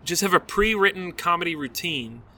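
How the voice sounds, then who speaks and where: A man's voice: sits high, lively intonation, normal speed, over a noisy crowd. A man co-hosting a podcast, chatting casually and joking, often chuckling mid-story. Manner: joking, chuckles often while telling stories. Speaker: podcast co-host. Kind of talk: casual chat.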